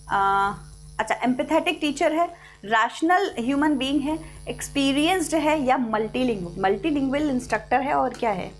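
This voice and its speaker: A young Indian woman teaching an English class online, explaining in Hindi mixed with English and reading English passages slowly. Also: high-pitched.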